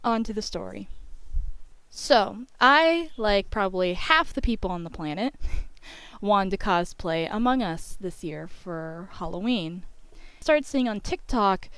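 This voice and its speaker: A woman's voice: mid-range voice, expressive, measured pace, a bit bright, clear, resonant tone, no echo, poor audio. A young woman telling a story in a casual, chatty voice-over, peppered with filler phrases like "you know". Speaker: YouTube storyteller.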